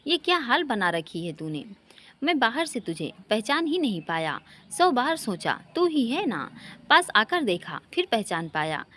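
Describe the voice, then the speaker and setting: A woman's voice: fairly high pitch, very animated, a little slow, pushed and bright, full character voice. A woman storyteller voicing a teasing, affectionate male engineer talking familiarly to an old college friend. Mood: fond concern. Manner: playful, familiar.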